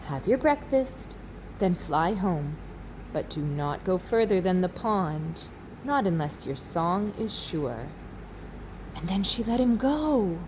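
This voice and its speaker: A woman teacher reading a children's storybook aloud expressively, with dramatic pauses. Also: fairly low pitch, very animated, background noise. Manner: slow, dramatic pauses, asks listeners questions.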